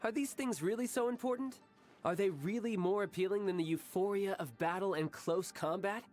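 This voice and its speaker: An actor playing a sly, cocky young warrior in a video game, smooth, teasing and theatrical, relishing battle. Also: male voice, high-pitched, normal speed, a little mellow, faint music behind, natural voice. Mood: cocky, battle-hungry. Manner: theatrical, teasing, self-assured.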